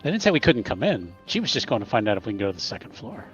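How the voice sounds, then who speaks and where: A man playing a tabletop game, haltingly describing what his character does in casual chat. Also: on the low side, very animated, lo-fi sound, soft background music.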